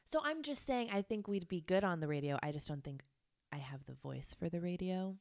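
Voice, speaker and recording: A young woman from Texas co-hosting a casual podcast, playful and animated, swinging between loud and soft. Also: fairly low pitch, a little fast, no echo, studio quality. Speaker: podcast co-host. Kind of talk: casual chat.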